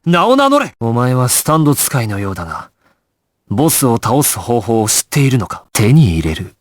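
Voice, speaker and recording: A man's voice: mid-range voice, big pitch swings, moderate pace, no echo, studio quality, natural voice. A male actor playing a resolute, composed young gangster squad leader in an anime video game, terse and authoritative in his clipped orders. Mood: urgent shouting. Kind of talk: Japanese anime game voice acting, dramatic.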